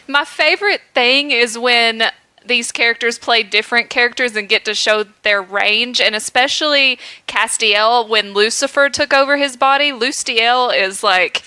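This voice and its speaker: A woman's voice: a little high, measured pace, bright, forceful tone, clear, resonant tone, no room sound. A woman co-hosting a podcast in casual chat, giggly and enthusiastic as a fan. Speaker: podcast co-host.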